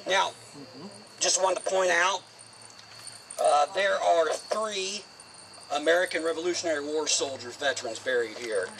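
A man's voice: on the high side, unhurried, vocal fry, pauses often, fairly bright tone, slight background noise. A middle-aged man leading a walking tour of a cemetery, explaining graves to a group in a casual, direct way, recorded outdoors by a camera at a distance. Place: outdoor cemetery, in a small open space. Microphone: far camera, no mic.

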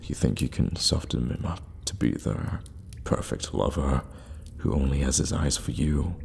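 A man playing a seductive, menacing evil warlock in an ASMR roleplay, teasing in a breathy murmur with halting pauses. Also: darkish tone, heavily acted. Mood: seductive, probing. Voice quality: breathy low murmur, often whispering. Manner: slow, teasing, halting for effect. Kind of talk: intimate ASMR roleplay acting. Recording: long reverberant cave-like echo.

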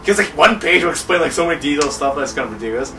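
A man's voice: a little high, expressive, racing, sharp tone, distant mic, faint music behind. A young man co-hosting a couch let's play, joking, swearing and laughing while reading game text aloud. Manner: jokey, profane, laughs often, reads lines aloud. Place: living room, on a couch.

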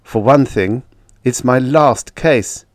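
A man voicing a shrewd old defense lawyer in an audiobook story, dry, deliberate and firm. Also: mid-range voice, no room sound, pitched above the performer's own voice, natural voice. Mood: sober, firm. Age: older.

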